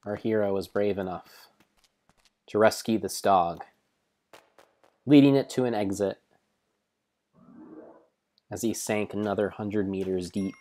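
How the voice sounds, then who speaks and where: Man, low voice, theatrical storyteller, solemn, deliberate pauses, mock-dramatic game narration. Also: fairly even intonation, darkish tone. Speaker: live streamer narrating a game.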